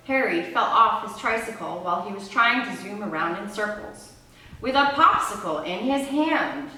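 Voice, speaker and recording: A woman presenting read-aloud storytelling and teaching, expressive and conversational as she addresses her listeners. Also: mid-range voice. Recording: roomy echo, distant microphone.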